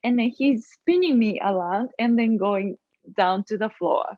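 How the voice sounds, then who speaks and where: A woman's voice: a little high, no room sound. Japanese-accented woman on a tinny line. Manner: halting, searching for words, many fillers. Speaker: guest, acro yogi. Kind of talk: casual interview chat.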